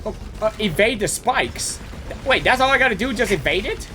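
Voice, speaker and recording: A woman game streamer giving excitable, slangy reaction commentary as she plays. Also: medium pitch, normal speed, a little hiss or hum. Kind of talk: reaction commentary, reading dialogue aloud.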